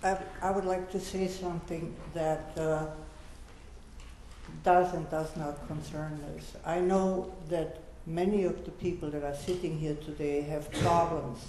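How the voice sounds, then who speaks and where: An elderly woman in her eighties speaks in an earnest, measured way, with a mellow, somewhat dark tone and a fairly high pitch for her voice. She sounds echoey, as if heard across a large lecture hall, with faint room noise behind her. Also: fairly even intonation. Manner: earnest, measured, gently exhorting. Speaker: Holocaust survivor panelist. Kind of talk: personal testimony at a lecture.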